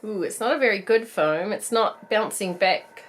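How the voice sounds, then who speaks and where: A woman in her thirties with a New Zealand accent, croaky from a cold, chatting bubbly through a casual doll unboxing review. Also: mid-range voice, normal speed. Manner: chatty, bubbly, laughs often. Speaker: doll collector vlogger.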